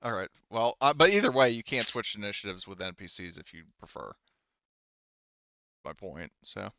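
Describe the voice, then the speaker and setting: A man running a tabletop roleplaying game as game master, talking casually and haltingly as he thinks aloud through the rules. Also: lowish voice, big pitch swings, creaky, sharp tone, no room sound, highs cut off.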